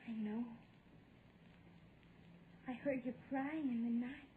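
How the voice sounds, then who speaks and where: A woman's voice: sits high, takes it slowly. An actress playing a wistful little ghost girl who longs for love, speaking in an earnest, childlike way in a radio drama. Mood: timid, plaintive. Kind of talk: vintage radio drama acting. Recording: noisy, narrow-band vintage radio recording.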